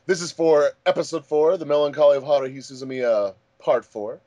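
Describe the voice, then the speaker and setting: A man's voice: a little high, steady tone, a little fast, warm tone, no room sound. Chatty man hosting, dry close sound. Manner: chatty, jokey. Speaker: host, fan dub director. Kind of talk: casual commentary track.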